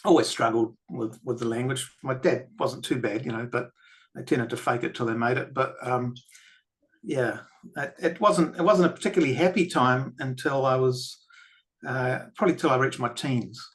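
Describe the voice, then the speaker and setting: An older man with a New Zealand accent talks as a podcast guest. He tells his stories in an unhurried, reflective way. His voice sits at an ordinary pitch for a man. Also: fairly bright tone. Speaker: guest filmmaker and author. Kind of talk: podcast interview.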